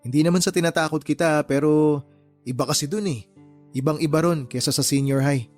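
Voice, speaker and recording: An actor playing a kind, modest uncle, gently encouraging and advising in a radio drama. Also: male voice, fairly high pitch, a little fast, faint music behind, lightly acted. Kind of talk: Tagalog radio drama dialogue.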